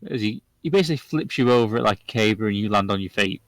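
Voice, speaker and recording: A man running a tabletop game as game master, narrating in a loose, relaxed way with frequent restarts. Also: lowish voice, fast talker, no echo.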